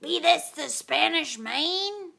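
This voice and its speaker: A woman voicing a gruff, boisterous male head pirate in a children's storybook, with hearty pirate talk. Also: high-pitched, animated, slow, pushed and bright, exaggerated voice. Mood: hearty, loud.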